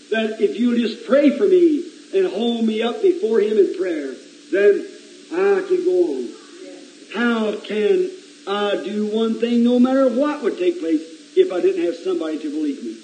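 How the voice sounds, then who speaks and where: A hoarse man with a cold, preaching earnestly to his congregation and calling on people by name. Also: high-pitched, unhurried. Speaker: pastor. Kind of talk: informal sermon talk to a congregation. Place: church sanctuary. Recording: echoey church with loud congregation singing.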